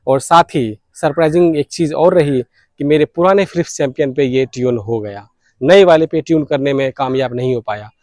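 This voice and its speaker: A man's voice: mid-range voice, a little fast, a little mellow, no room sound. A man hosting a hobby vlog, explaining details informally and mixing English technical terms into his talk. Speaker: radio hobbyist vlogger, host.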